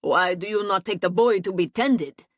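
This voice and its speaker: A woman voicing a big, gruff male knight who speaks curtly and sternly. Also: mid-range voice, normal speed, dry recording, studio quality, close to an everyday voice.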